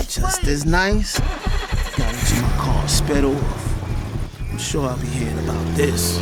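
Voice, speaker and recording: A man's voice: a little high, heavily acted. An American man playing a hot-tempered, swaggering record label boss who narrates his own story in brash slang for an audio drama. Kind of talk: first-person audio drama narration with dialogue. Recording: loud music behind the voice.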